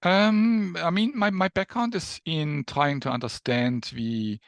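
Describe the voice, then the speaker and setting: A man's voice: a little high, takes it slowly, gravelly, no room sound. A mature man from Germany with a German accent, speaking as an academic guest explaining things in an interview. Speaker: guest professor, neuroscience researcher.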